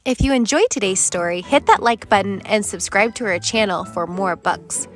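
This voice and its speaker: A woman hosting a children's read-aloud, giving expressive scripted storybook narration. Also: mid-range voice, normal speed. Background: music.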